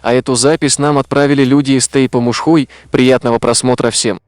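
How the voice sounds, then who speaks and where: A man's voice: medium pitch, steady tone, brisk, dry recording, studio quality. A man reading a scripted introduction as an announcer. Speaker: channel announcer. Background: music.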